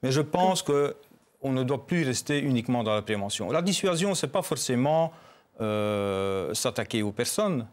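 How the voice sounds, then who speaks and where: A man's voice: medium pitch, expressive, moderate pace. A local election candidate arguing his points insistently in a televised debate, often stumbling over words.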